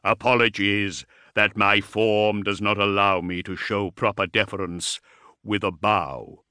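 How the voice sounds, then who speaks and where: A man voicing a huge, courteous war machine in an audiobook, intoning formally in a deep bass. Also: level tone, bright, forceful tone, clean tone, dry recording, studio quality. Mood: solemn, courteous. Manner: slow, solemn intoning.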